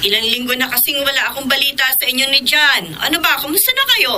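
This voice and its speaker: A woman's voice: sits high, lively intonation, quick pace, pushed and bright, a little hiss or hum, heavily acted. A Filipino woman playing a caring, inquisitive mother of a grown daughter in a radio drama. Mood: concerned.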